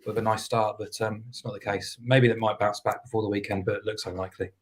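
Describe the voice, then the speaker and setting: A man talks football betting in a low, fairly level voice. He sounds a little hoarse and speaks in a measured, unhurried way, with frequent ums and restarts. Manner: measured, hesitant with fillers, flat delivery. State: losing his voice. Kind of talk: casual podcast chat.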